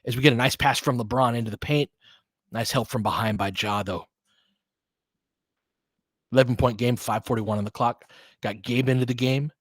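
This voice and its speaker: A man's voice: medium pitch, steady tone, moderate pace, pauses often, no echo. Man calling basketball casually, close dry sound. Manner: conversational, thinking aloud, slangy. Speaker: host and play-by-play commentator. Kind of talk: casual live basketball commentary and analysis, chatting with viewers.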